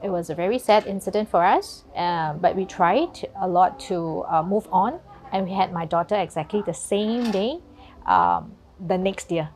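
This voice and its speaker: A woman in her forties telling her personal story, speaking softly and hesitantly with many pauses. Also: lowish voice, very animated. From Singapore. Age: 40s. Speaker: mother sharing her story. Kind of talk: personal testimony.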